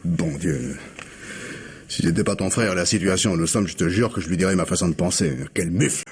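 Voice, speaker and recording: Raspy, resentful brother-in-law. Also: male voice, low voice, a little fast, heavily acted. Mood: indignant. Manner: grumbling, protesting. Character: resentful brother-in-law bossed around at work. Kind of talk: French radio mystery drama acting.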